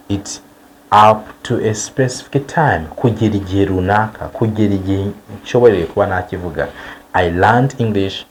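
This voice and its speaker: Man, English teacher, low voice, animated pitch, slow repeated examples. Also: a little hiss or hum. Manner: slow, drawn-out model sentences repeated for learners. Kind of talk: bilingual language lesson.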